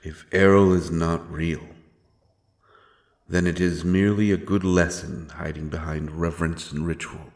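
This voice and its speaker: A man playing a grim, doomed, godless avenger in a dark fantasy audio drama, with a brooding, solemn delivery and weighty pauses. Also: low voice, little pitch movement.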